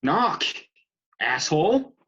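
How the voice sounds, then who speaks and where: A man's voice: on the high side, big pitch swings, slow pace, a bit bright, breathy edge, dull audio, full character voice. A man playing a needy, lovestruck, sardonic young boyfriend in a script read-through. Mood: annoyed.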